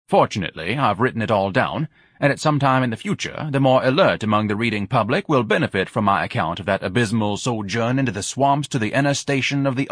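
A man's voice: fairly low pitch, fairly bright tone, breathy edge, dry recording, studio quality. Male narrator as pompous fat man, grandiloquent, self-pitying, easily outraged, muddied voice, audiobook character. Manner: pompous, grandiloquent, ornate wording.

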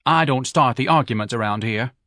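A man voicing a wealthy middle-aged wife who needles her husband with sarcastic, drawn-out nagging. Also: mid-range voice, a bit bright, dry recording, studio quality, natural voice. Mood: needling.

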